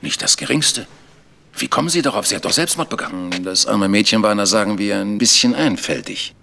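A man's voice: lowish voice, brisk, creaky, bright, forceful tone, a little hiss or hum. An actor playing a defensive, resigned village churchman in a German radio crime drama, explaining himself emphatically.

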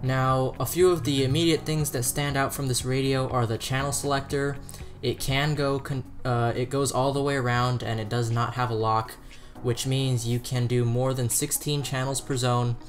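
A man presenting a scripted product review as narration. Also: medium pitch. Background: background music.

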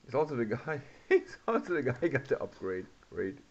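A man giving casual gameplay commentary, muttering to himself in a halting way. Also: mid-range voice, big pitch swings. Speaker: video game player. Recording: muffled, noisy home recording.

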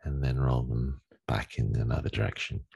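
A man leading a guided meditation as a teacher, giving gentle, unhurried instructions with long pauses in a gravelly, husky voice. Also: rumbling low, level tone. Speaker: meditation teacher leading a daily group session.

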